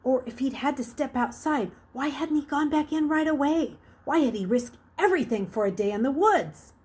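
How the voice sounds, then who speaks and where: A woman reading a children's novel aloud like a teacher, with expressive, dramatic emphasis. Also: high-pitched, normal speed. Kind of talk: expressive storybook narration.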